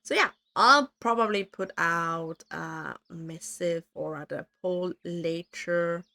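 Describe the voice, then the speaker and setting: A woman streamer chatting casually with her viewers, her voice worn hoarse from talking too much, rambling and self-deprecating. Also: fairly low pitch, sharp tone.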